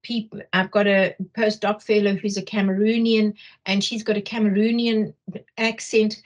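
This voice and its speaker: A middle-aged woman with a Zimbabwean accent explaining material as a workshop trainer, haltingly, often repeating phrases for emphasis. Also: mid-range voice, little pitch movement, dull audio. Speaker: teacher trainer leading a workshop. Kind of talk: explanatory lecture.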